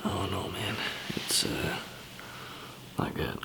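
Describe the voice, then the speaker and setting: A man doing an improvised ASMR roleplay as a weary, easygoing survivor, in a breathy, hesitant, rambling murmur. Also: low-pitched, takes it slowly. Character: weary, easygoing male zombie apocalypse survivor.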